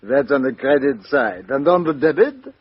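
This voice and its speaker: A man's voice: medium pitch, expressive, normal speed, husky, dry recording. An actor playing a polite, inquisitive foreign detective in a radio drama, hesitating and repeating words as he courteously probes. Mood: wry, probing. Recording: dull, lo-fi radio drama recording.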